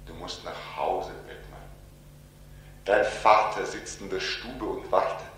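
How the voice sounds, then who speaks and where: A mysterious companion, coaxing and solemn. The voice is fairly low and very slow, drenched in echo. It comes from an old 1940s radio drama. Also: male voice, steady tone, a bit bright. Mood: urgent coaxing. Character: insistent, coaxing mysterious male companion urging a man homeward. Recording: very echoey, noisy old radio recording with hum.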